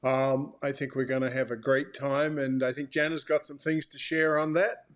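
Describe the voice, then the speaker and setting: A man talking informally as an author and co-host, explaining things in a measured, halting way. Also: medium pitch, little pitch movement.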